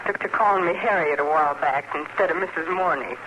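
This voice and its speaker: An actress playing a grieving, stoic frontier farm widow with a rural American accent, brooding and plain-spoken in an old radio western. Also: female voice, low voice, fast talker, natural voice. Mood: brooding certainty. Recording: noisy old radio drama recording.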